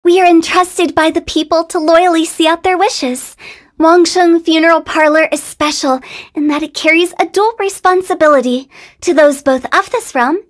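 A woman's voice: very high voice, fairly even intonation, normal speed. A woman voicing a playful, eccentric young female funeral director in a video game, with a theatrical, teasing, sing-song delivery. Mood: earnest. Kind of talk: video game character voice lines.

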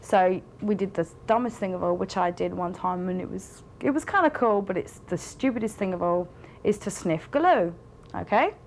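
A young woman tells a story to the camera in a rambling, halting way full of fillers. Her voice sits at a middle pitch, recorded close up indoors with a faint hiss behind it. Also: rough recording. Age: young adult. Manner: rambling, with many fillers and restarts. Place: indoor studio with a plain wall.

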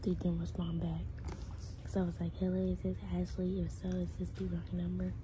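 A young American woman chatting casually to camera in an informal, slangy, halting way, sometimes dropping into hushed asides. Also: lowish voice, monotone, background noise. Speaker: vlogger making prank calls. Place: small indoor room. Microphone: phone held at arm's length.

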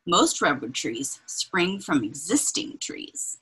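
Breathy lady reading a kids book out loud, takes her time, really lively up and down, little asides. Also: sits low, rough recording. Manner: expressive, deliberate, pointing things out as if to children. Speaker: read-aloud reader. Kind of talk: children's picture book read-aloud with informal asides. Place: indoors, small room with bookshelves.

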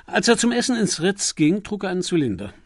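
A loyal valet from an audiobook acted by a man, stiffly proper and servile. The voice sits high and sounds close and dry. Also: very animated, brisk, no room sound. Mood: stiffly reproachful. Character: deferential male valet, formal, proper and loyal. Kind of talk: audiobook character acting.

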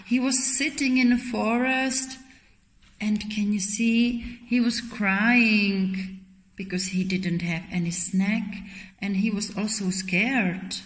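A woman in her thirties tells a picture-book story to small children in simple, expressive phrases, asking questions as she goes. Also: mid-range voice, slow. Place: indoor children's classroom. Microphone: camera a short distance away. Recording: roomy echo, faint background noise.

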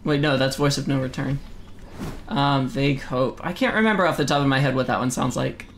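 Young male streamer from the US, casual chat at a desk mic, mid pitch, faint noise floor, prone to loud outbursts. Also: fairly quick. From United States. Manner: reads chat aloud, chatty, with sudden loud exclamations. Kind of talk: casual live-stream chat while gaming. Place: home room, seated in desk chair.

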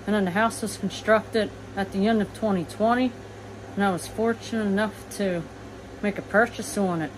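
Brief: twenties woman, plain and matter-of-fact vlogger, phone audio with steady household hum. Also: mid-range voice, moderate pace, low-quality recording. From eastern United States. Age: 20s. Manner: plain, matter-of-fact, listing things as she walks. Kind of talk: casual house tour. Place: small manufactured home, indoors. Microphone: phone camera, front-facing then walking.

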